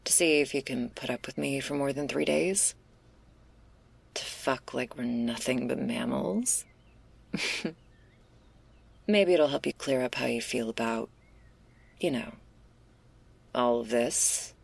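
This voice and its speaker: A woman playing a laid-back, restless stoner werewolf in an intimate ASMR roleplay, husky and gravelly, confiding in a casual, hesitant, slangy way. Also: very deep voice, takes it slowly, long pauses, full character voice. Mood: coaxing, flirty.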